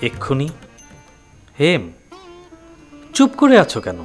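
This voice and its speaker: A man's voice: a little high, huge pitch swings, takes it slowly, soft background music, exaggerated voice. A man playing an earnest, tender young suitor with a secret in an audio drama. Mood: earnest pleading.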